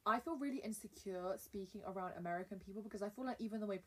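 A woman's voice: medium pitch, racing, a bit bright, distant mic, a little hiss or hum. A young woman from England co-hosting a podcast from home, chatting casually and teasing in a playful way. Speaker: podcast co-host. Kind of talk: casual chat.

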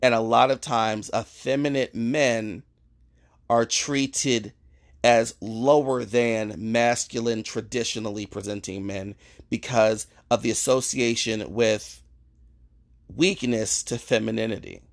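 Man, podcast host, measured explanatory delivery, casual conversation. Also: medium pitch, fairly even intonation, creaky.

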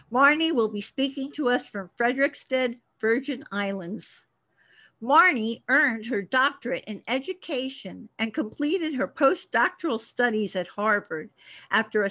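A woman hosting a podcast, reading a measured scripted intro and then interviewing a guest from her studio. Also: on the high side, fairly bright tone. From United States. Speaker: podcast host. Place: home studio.

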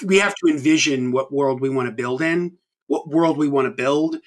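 A man's voice: medium pitch, moderate pace. A man in his thirties hosting a podcast, talking casually and rambling with many ums and restarts. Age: 30s. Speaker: podcast host. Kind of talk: casual chat.

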